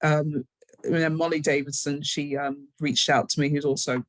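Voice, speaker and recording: A woman's voice: low voice, fairly even intonation, normal speed, no echo. British woman, close dry recording. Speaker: interview guest, scriptwriter. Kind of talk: casual conversational interview.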